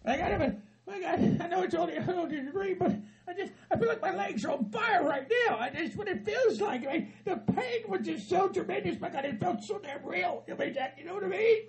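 A shrill gravelly hillbilly geezer. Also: male voice, animated, brisk, a crowd in the background, low-quality recording. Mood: rambling upset. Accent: Southern US hillbilly. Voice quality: high-pitched and gravelly. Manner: rambling, stammering, laughs through his words, bursts into yelling. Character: frantic aging hillbilly husband, loud and cussing. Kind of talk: comedy sketch.

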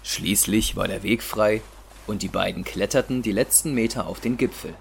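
Casting: male storyteller, lowish pitch with vocal fry, scripted audio drama narration over faint ambience. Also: moderate pace, a little hiss or hum.

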